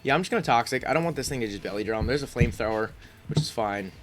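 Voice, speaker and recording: A man's voice: medium pitch, expressive, racing, music in the background. Congested man thinking aloud over a game. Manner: thinks aloud, frequent ums and restarts. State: congested, sniffling. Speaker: gaming YouTuber. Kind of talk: casual gameplay commentary.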